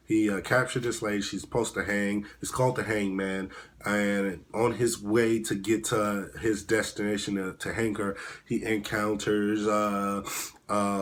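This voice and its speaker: A man hosting his own movie review show, speaking hoarsely in a rambling, halting way with frequent restarts. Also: lowish voice, slight background noise. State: hoarse, voice at risk of cracking. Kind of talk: casual spoken movie review.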